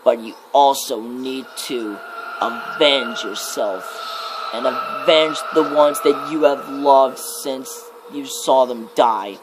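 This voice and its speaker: A man voicing a menacing demon of fear, death and darkness in a slow, ominous ASMR horror monologue with deliberate pauses. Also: mid-range voice, background noise, lightly acted.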